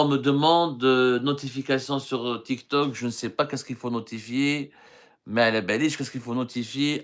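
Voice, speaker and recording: Man from algeria, middle-aged, live-stream host, earnest, hesitant, mid-pitched voice. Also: fairly bright tone. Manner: earnest, talks with many hesitations and restarts. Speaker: journalist hosting a live stream. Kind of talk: live stream answering viewer questions.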